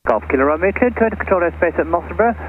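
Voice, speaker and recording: A man working as an air traffic controller, giving brisk procedural instructions over aviation radio. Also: sits high, lively intonation. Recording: narrow crackly aircraft radio link.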